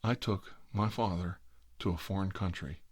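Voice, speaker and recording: A middle-aged man telling a rambling, comic family anecdote in a casual, wry, exasperated manner with drawn-out emphasis. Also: low-pitched, fairly even intonation, slightly rough, no room sound, clean studio sound. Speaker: host telling a family story.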